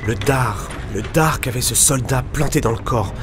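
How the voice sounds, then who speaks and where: A man's voice: mid-range voice, moderate pace, background noise, natural voice. A man acting as an earnest, anxious ocean scientist in an audio drama. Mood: uneasy, puzzled. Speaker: voice actor.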